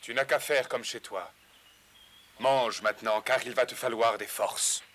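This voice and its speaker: An actor playing a noble, resolute outlaw leader in a French cartoon dub. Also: male voice, mid-range voice, fairly even intonation, measured pace, fairly bright tone, a little hiss or hum, close to an everyday voice. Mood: solemn, welcoming.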